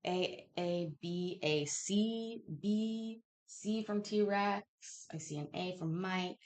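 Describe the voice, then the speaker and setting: A woman's voice: medium pitch, slow pace, a little mellow. Candid, sweary woman hosting trivia. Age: 30s. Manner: candid, sweary, self-interrupting. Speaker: host of a live online trivia chat. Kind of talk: casual livestream chat, reading quiz questions aloud.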